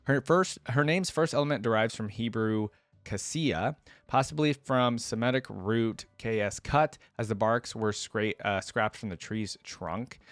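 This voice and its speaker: A man's voice: on the low side, unhurried, dry recording. A man giving casual reaction commentary as a video host, talking informally and often hedging or correcting himself. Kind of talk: casual reaction commentary, partly reading aloud from a wiki.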